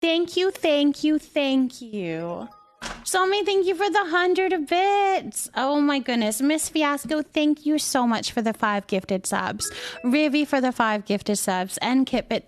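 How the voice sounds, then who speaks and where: Female livestreamer, Canadian accent, higher-pitched, unhurried delivery, effusive and affectionate in casual chat with viewers. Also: expressive, soft background music. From British Columbia, Canada. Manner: effusive, affectionate, repeating thanks and words for emphasis.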